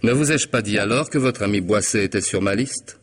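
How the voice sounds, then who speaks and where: A man's voice: lowish voice, brisk, breathy edge. An actor playing a cunning, mocking hitman in a radio mystery, with theatrical irony and precise, formal diction. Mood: sardonic menace. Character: cunning middle-aged hitman, smug, mocking, methodical blackmailer. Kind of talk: French radio mystery drama acting.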